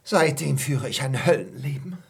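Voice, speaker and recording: A lovesick hotelkeeper in his prime, embittered and full of self-pity. His rough, husky voice lurches widely in pitch, ranting in radio-drama fashion. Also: expressive, moderate pace, heavily acted. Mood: bitter, agitated. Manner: agitated, self-pitying, ranting. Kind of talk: German radio drama acting.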